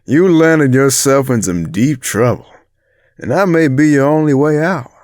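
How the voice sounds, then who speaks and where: A man's voice: mid-range voice, animated, unhurried, darkish tone, no echo, clean studio sound, lightly acted. A man playing a big middle-aged crime boss in an audio drama, theatrical and condescending, with menace under a mock-friendly manner.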